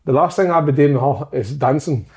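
A man's voice: fairly high pitch, fairly quick, darkish tone. A man co-hosting a football chat show, rambling and joking crudely, laughing easily. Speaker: podcast co-host, goalkeeper. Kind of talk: casual banter.

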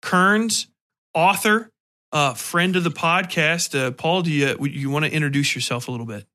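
A man's voice: a little high, moderate pace, bright, forceful tone. A man hosting a podcast, talking in an informal, slangy, conversational way. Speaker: podcast host and interviewer. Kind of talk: casual podcast talk.

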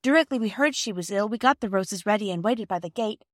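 A woman's voice: mid-range voice, quick pace, no echo, studio quality, pitched above the performer's own voice, natural voice. A woman voicing a chatty, inquisitive little sister in a storybook, eager to explain things. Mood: hasty, earnest.

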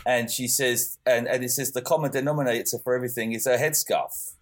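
A man's voice: medium pitch, fairly even intonation, fairly quick. A young man co-hosting a podcast, talking casually with lots of fillers and restarts. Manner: rambling, many fillers and restarts. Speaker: podcast co-host. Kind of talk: casual chat.

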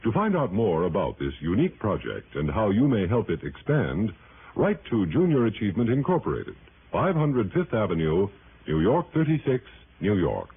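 A man's voice: on the low side, wildly swooping pitch, moderate pace. A man reading a scripted public service announcement as a mid-century radio announcer, polished and formal. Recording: tinny, faintly noisy vintage radio recording.

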